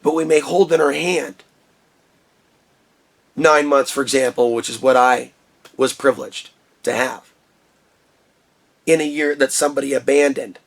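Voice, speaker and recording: A man with a booming voice talking through a personal vlog monologue in an impassioned, ranting way from his shed studio. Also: steady tone, unhurried, pauses often. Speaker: vlogger.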